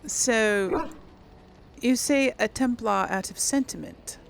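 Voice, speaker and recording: A woman hosting a casual role-play gaming video, musing aloud haltingly and often clearing her throat. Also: on the high side, a little hiss or hum.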